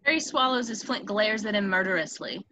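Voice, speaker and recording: A woman's voice: mid-range voice, brisk, pushed and bright. A woman reading stage directions as narrator of a screenplay table read.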